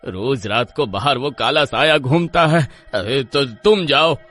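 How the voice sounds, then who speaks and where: An actor playing a lazy, cowardly village husband in a horror story, whiny, blustering and given to stammering. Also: male voice, mid-range voice, unhurried, a bit bright, soft background music. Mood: scared bluster.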